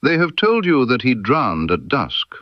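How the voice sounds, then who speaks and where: A man voicing a brilliant, coolly ironic Victorian gentleman detective in an audiobook, precise and incisive. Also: mid-range voice, wildly swooping pitch, a little slow, no room sound, dull audio, pitched above the performer's own voice. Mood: dry irony.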